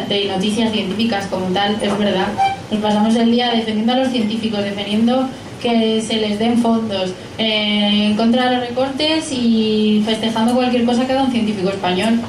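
A woman in her late twenties with a Spain Spanish accent, speaking informally at a live talk and answering questions. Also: on the high side. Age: late 20s. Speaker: guest speaker, science and tech journalist. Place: small pub event room, indoors. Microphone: handheld PA mic, picked up by a camera. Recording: echoey room, distant camera mic, faint noise.

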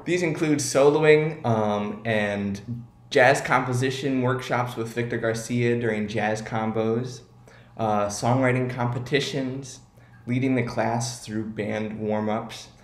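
Low-voiced young man in an echoey room. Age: 20s. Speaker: award presenter. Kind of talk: prepared award tribute. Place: living room at home. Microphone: camera a few feet away.

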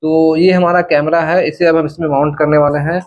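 Young man, slightly high pitch, casual vlogger, step-by-step explaining, indoor demo. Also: little pitch movement, brisk, dull audio, a little hiss or hum. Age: young adult. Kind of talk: casual product installation demo to camera. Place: indoors in a small bedroom.

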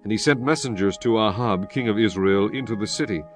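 Casting: man with a fairly low voice, solemn, measured scripted Bible narration over faint music. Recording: music bed under the voice. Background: background music.

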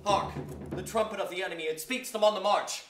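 A dutiful soldier, speaks formal, earnest stage verse. The voice is bright and fairly high, heard at a distance in an echoey hall. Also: male voice, brisk. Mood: dutiful, alert. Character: loyal soldier, deferential officer to his commander. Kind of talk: staged reading of Shakespearean drama. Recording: echoey theatre, distant, faint noise.

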